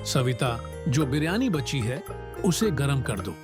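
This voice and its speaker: A man playing a gentle, hopeful poor woodcutter husband in an earnest, dramatic Hindi cartoon moral story. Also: medium pitch, sing-song, slow. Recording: loud background music.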